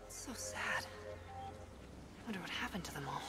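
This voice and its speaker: An actress playing an earnest, resourceful young female survivor in a video game, speaking in a soft-spoken way. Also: lowish voice, animated, normal speed, loud music behind the voice. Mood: wistful. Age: young adult.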